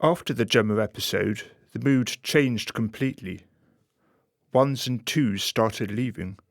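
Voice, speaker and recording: A man narrating a scripted radio play in a wry, measured storytelling manner. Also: mid-range voice, creaky, no room sound, studio quality.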